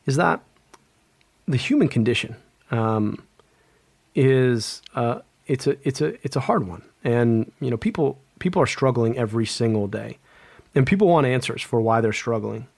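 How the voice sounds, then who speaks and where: A man's voice: medium pitch, expressive, normal speed, creaky, darkish tone, no echo. A man, an epidemiologist guest, speaking earnestly in an interview, often restarting and repeating words. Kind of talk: conversational interview.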